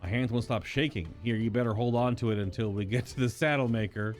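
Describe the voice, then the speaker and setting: A man reading a spirited young catlike princess from a game, raising his voice higher and sounding eager. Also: fast talker, faint music behind, close to an everyday voice.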